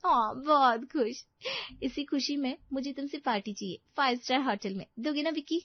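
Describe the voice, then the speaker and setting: A woman's voice: high voice, moderate pace. A woman voicing a demanding, spoilt young bride-to-be in an audio story, fussy and gushing. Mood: delighted, coaxing. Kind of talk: Hindi audio story acting. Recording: slightly dull, dry studio recording.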